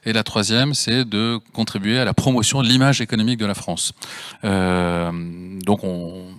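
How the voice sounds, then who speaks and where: Low-voiced man giving a formal panel answer. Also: normal speed, a bit bright, no room sound, clean studio sound. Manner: structured and formal, with frequent restarts. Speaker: panelist, agency department head. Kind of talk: panel discussion.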